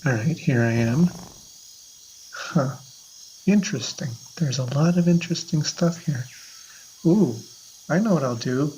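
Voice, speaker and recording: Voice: mid-range voice, a little slow, pauses often, highs cut off. A podcast host improvising a comedy audio adventure in casual banter, with a rambling, playful way of talking. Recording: noisy background.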